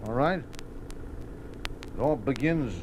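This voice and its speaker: A loquacious racetrack bookie from an old American radio drama. He talks in streetwise slang at a middling pitch, repeating himself as he defends his own case. The vintage recording carries some background noise. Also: animated, takes it slowly. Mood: reluctant. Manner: streetwise slangy talk, hesitant repetitions, aggrieved. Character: fast-talking male racetrack bookie, folksy, defensive, loquacious. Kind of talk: 1950s radio drama acting.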